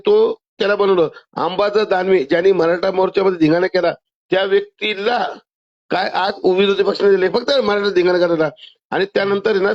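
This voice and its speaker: A man with a Maharashtrian accent on a phone call, arguing insistently and cutting in. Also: sits high. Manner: insistent, interrupting. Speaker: caller. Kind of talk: argumentative phone conversation. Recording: muffled lo-fi phone call recording.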